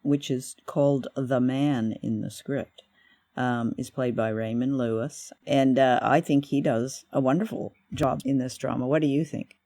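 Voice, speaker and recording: A man from Vancouver with a Canadian accent, hosting a conversational review show in a measured, slightly hesitant way. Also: mid-range voice, fairly even intonation, dark tone, no echo. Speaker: podcast host. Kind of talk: conversational radio drama review.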